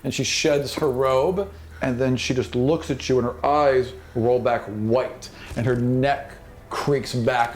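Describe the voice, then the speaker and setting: A man running a tabletop fantasy game as game master, mixing dramatic, descriptive narration with casual asides. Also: medium pitch. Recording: clear background noise, insect ambience.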